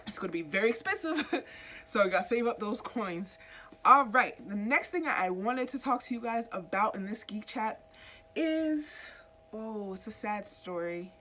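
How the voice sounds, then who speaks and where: A woman's voice: mid-range voice, fairly bright tone, a little hiss or hum. Stuffy-voiced woman chatting comic news. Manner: chatty, asks viewers questions directly. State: stuffy from a cold. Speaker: YouTube host. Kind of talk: casual comic book news chat.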